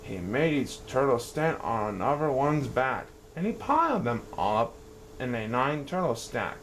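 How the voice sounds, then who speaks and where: A man reading a rhyming children's story aloud in a sing-song, animated way. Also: medium pitch, measured pace, slight background noise.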